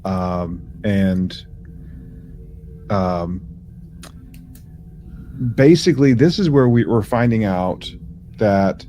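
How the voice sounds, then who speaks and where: A man co-hosting a podcast, explaining things in a halting, informal, slangy way. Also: on the low side, lively intonation, dark tone, faint music behind. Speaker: podcast co-host. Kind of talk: casual explanatory podcast chat.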